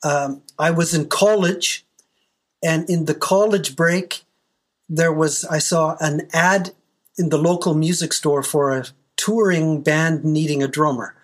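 A man from Canada with a Canadian accent, answering interview questions in a slow, measured way. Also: a little high, fairly even intonation. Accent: Canadian English. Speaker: guest drummer.

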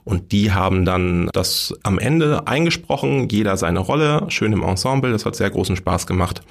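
North guy, really low gravelly voice, explaining stuff casually in an interview. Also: animated, moderate pace. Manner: informal, step-by-step explaining. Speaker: radio play workshop leader, interview guest.

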